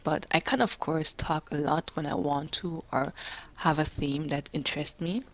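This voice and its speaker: Low-voiced young woman, soft and halting. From Jamaica. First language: English. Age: 20s. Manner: soft-spoken, halting, quiet and calm. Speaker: gamer. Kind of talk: personal commentary.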